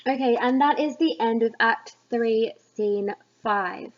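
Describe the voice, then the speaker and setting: A woman's voice: fairly high pitch, slow pace. A woman teacher giving plain, explanatory commentary on a text, as in a lesson. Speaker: English teacher. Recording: dull, muffled lo-fi recording.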